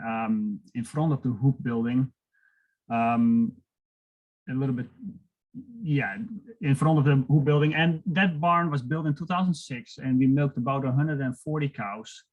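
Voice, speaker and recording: A man with a Dutch accent talks about his farm over a video call. He speaks slowly and hesitates a lot, with many fillers. He has an even, mid-pitched voice on a computer mic. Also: highs cut off. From Netherlands. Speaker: dairy farmer.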